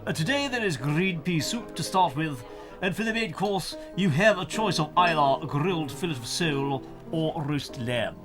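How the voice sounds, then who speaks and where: An actor playing a polite, formal, deferential hotel waiter in an audio drama. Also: male voice, on the high side, expressive, normal speed, over music, close to an everyday voice. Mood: courteous. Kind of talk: audiobook full-cast drama.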